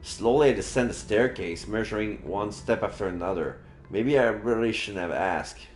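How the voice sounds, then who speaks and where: Low-voiced male streamer in an echoey room. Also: normal speed, slight background noise. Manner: casual, reacting as he reads. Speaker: live streamer. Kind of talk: reading a visual novel aloud with commentary.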